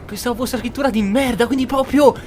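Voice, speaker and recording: An Italian man comments casually on his game playthrough. His voice is high for a man, with faint music behind it. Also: steady tone, very fast, a little mellow. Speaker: game streamer. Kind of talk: casual roleplay gameplay commentary.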